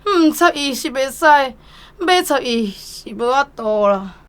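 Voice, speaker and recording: A woman's voice: high voice, animated, takes it slowly, clear, resonant tone. An actress playing a worried mother who complains to a doctor about her sons, in a radio drama. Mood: complaining. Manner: complaining, insistent. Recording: music playing under the voice.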